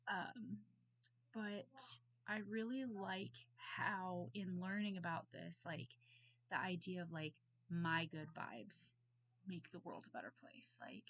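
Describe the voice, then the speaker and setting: A hoarse woman getting over a cold talks into her computer mic at home, rambling through her thoughts with lots of ums and quick apologies. Her voice is gravelly and fry-heavy but carries a bright, tense edge. Her pitch sits a bit low. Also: dry recording, highs cut off. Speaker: student. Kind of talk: casual recorded reading response. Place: at home on a bed.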